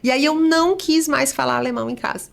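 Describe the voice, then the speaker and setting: Brazilian woman, relaxed and slightly high. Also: fast, gravelly. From born in Germany, raised in southern Brazil. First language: German. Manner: relaxed storytelling, frequent tag questions. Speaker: singer and interview guest. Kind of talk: casual conversational interview.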